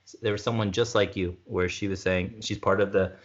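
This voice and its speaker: A man's voice: deep voice, fairly even intonation, brisk, lo-fi sound. A man chatting casually, rambling with many "like"s and restarts. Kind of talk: casual conversation.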